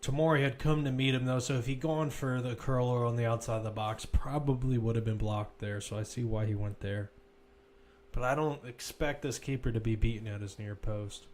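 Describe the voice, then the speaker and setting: A man's voice: mid-range voice, fairly even intonation, a little fast, darkish tone, a little hiss or hum. A man commentating live on a football match, mixing play-by-play calls with informal, conversational analysis.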